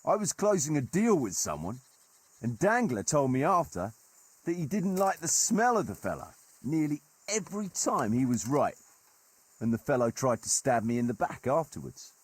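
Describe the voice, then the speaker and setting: Husky, wry medieval mercenary lord. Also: male voice, mid-range voice, big pitch swings, moderate pace. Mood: wry, admiring. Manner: colloquial, archaic turns of phrase, wry. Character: gruff, wry medieval nobleman turned mercenary leader. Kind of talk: dramatic storytelling in a video game cutscene.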